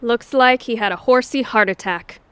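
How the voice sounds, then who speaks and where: A practical young daughter in an audio comedy, acted by a woman. Her voice sits a little high. She is caring and matter-of-fact. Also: moderate pace, fairly bright tone, clear, resonant tone, dry recording, lightly acted. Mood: sympathetic, practical. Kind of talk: audio theatre comedy acting.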